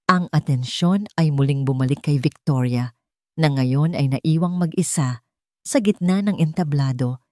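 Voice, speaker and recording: A woman narrating a scripted drama story in a measured, dramatic storytelling manner. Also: deep voice, darkish tone, no room sound, clean studio sound.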